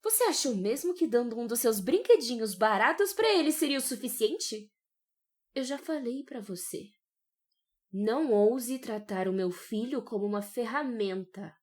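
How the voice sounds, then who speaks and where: A woman's voice: fairly high pitch, lively intonation, moderate pace, lightly acted. A woman with a Brazilian accent acting a fiercely protective, provoking mother who drives hard bargains in an audio novel. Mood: stern. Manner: assertive, taunting.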